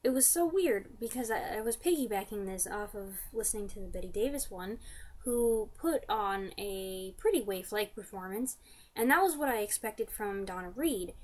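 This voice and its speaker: A woman in her twenties chatting casually to camera, giving an enthusiastic fan review in informal slang. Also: mid-range voice. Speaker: vlogger reviewing radio drama. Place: small furnished room indoors.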